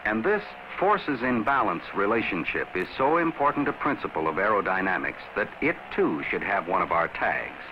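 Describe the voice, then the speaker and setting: Animated male narrator, dull old film sound. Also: fairly low pitch, background noise. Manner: measured, instructive, addressing the viewer. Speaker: narrator of a pilot training film. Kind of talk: scripted educational film narration. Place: recorded voice-over.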